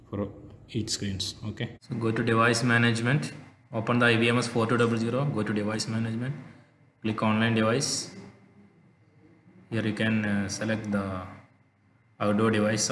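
Male tutorial presenter, halting step-by-step delivery, recorded in a reverberant room with faint noise. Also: lowish voice. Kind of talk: unscripted step-by-step technical tutorial.

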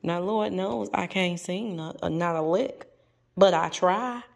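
A middle-aged woman, chatting casually to viewers in a folksy, playful, expressive way. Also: lowish voice, a little slow, creaky, no room sound. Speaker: lifestyle vlogger.

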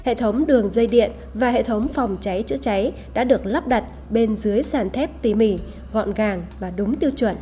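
A woman's voice: a little high, moderate pace, hardly any pauses, mellow. A woman reading a scripted promotional voice-over as a narrator. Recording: voice over background music. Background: music.

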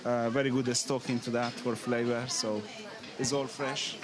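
A man's voice: mid-range voice, flat delivery, normal speed. Iranian-accented man over busy crowd noise. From Iran. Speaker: chef being interviewed. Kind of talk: informal interview answers. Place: open tent at an outdoor street food festival. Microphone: handheld microphone held out by interviewer. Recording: busy outdoor crowd chatter behind.

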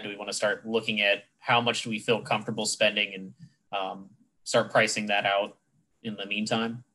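A fairly low but very bright, forward male voice coming through a headset on a video call. A board member thinking aloud in a casual, meandering way. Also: poor audio. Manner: casual, rambling.

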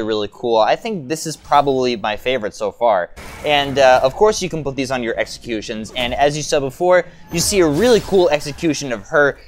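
A man's voice: medium pitch, slight background noise. A man hosting a gaming video, giving enthusiastic, informal and hyped commentary as he goes through a game overview.

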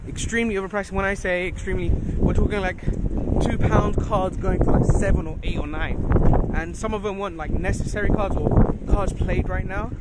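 A young man giving a quick, plain-spoken recap of a tournament, outdoors on a city street at night. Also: high voice, steady tone. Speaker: friend and guest player. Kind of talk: casual chat. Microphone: handheld camera. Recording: loud wind noise on an outdoor phone mic.

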